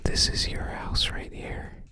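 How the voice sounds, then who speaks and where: Slow, whispering ASMR host. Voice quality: whispered, breathy and unvoiced. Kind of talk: ASMR, unscripted commentary while browsing a game guide book.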